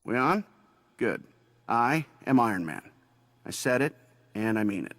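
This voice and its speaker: A billionaire superhero giving a speech in a game cutscene. He is a male of medium pitch, glib and boastful, with wry conversational asides. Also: sing-song, a little slow, a bit bright, no echo. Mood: boastful, musing.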